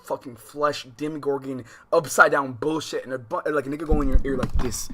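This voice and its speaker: Bright-voiced man, slangy and rambling. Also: medium pitch, fairly quick. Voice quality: slangy, profane speech. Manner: animated, rambling, jumping between unfinished thoughts. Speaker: livestream host. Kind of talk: casual livestream chat, reacting and addressing viewers.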